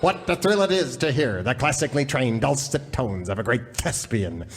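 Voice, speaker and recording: Man as lively, flowery radio pitchman. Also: moderate pace, slight background noise, lightly acted. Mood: gushing salesmanship. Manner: flowery, salesmanlike. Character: grandly effusive mail-order record pitchman. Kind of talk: parody radio commercial announcing. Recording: live audience behind the voice.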